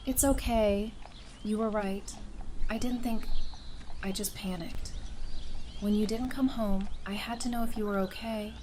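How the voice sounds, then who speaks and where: A woman's voice: medium pitch, fairly even intonation, a little slow, pauses often, dark tone, a little hiss or hum, lightly acted. A woman playing an anxious, loving wife and mother among survivors in an audio drama, emotional and plain-spoken. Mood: anxious, guilty. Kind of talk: fan audio drama acting.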